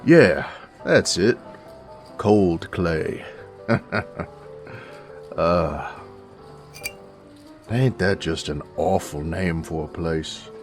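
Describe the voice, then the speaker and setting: A man playing a gruff, teasing werewolf bounty hunter in a Western audio roleplay, with a gravelly American Western drawl and a folksy, coarse manner. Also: low-pitched, extremely slow, pauses often, over music. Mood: wry, relaxed.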